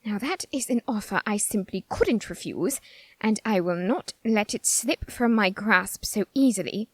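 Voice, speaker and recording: A woman playing a flamboyant, lovestruck, vain reaper in a theatrical audio roleplay monologue, with dramatic, drawn-out words. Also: medium pitch, dry recording, natural voice. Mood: scheming, eager.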